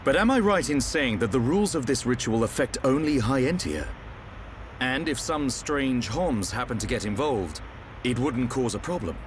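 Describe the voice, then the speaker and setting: A man's voice: mid-range voice, lively intonation, normal speed, a little hiss or hum, close to an everyday voice. A man playing a seasoned, protective warrior leader in a video game, assured and wry in manner. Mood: persuasive, firm. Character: seasoned, level-headed male war hero, protective leader. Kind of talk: video game cutscene acting. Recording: faint outdoor ambience.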